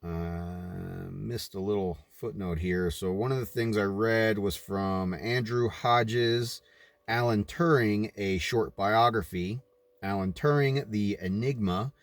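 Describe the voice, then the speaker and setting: Male book reader, lowish register, dry sound, deliberate and emphatic, slips into informal asides. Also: steady tone, dry recording. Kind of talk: read-aloud narration with informal commentary.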